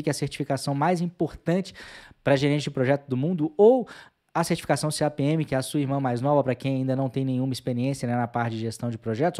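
A man's voice: fairly high pitch, darkish tone. A man in his forties with a Brazilian accent, explaining a lesson conversationally as an exam prep instructor, speaking into a close desk microphone. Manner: conversational, frequent "né" tags. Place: small home office, indoors.

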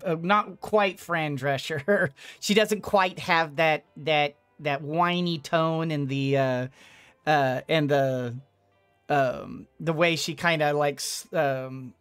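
A man's voice: fairly high pitch, takes it slowly, a bit bright, clear, resonant tone, dry recording. A middle-aged man giving casual, playful, rambling commentary as he plays a game. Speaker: streamer, let's player. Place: indoors at a desk. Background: game music.

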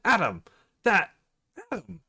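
A man's voice: high-pitched, huge pitch swings, slow, vocal fry, pushed and bright, breathy edge, pitched above the performer's own voice, heavily acted. A man voicing a playful, easily flustered young woman from a visual novel game. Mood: giggly, pleading.

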